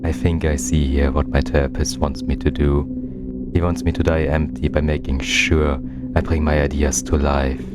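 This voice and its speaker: A man voicing an introspective, melancholy twin in a visual novel, narrating in a measured, confessional manner. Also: rumbling low, little pitch movement. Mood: reflective, confessional. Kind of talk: scripted narration. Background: loud game music.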